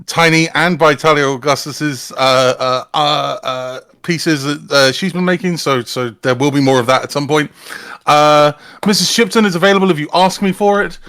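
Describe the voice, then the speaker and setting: Hesitant man with a higher-pitched voice. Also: normal speed, a bit bright. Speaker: audio drama maker. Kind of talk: casual livestream chat.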